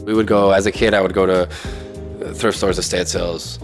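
A man's voice: low-pitched, fairly even intonation, a little fast. Laid-back man saying dude a lot, music under. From Mexico, Tijuana area. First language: Spanish. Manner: laid-back, peppers speech with "dude" and "bro". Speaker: interviewee. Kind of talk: casual storytelling.

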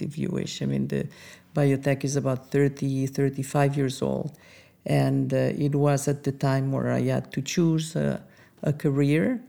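Middle-aged woman, creaky voice, soft warm tone, conversational interview storytelling. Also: sits low. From Andorra. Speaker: interviewee, biotech entrepreneur.

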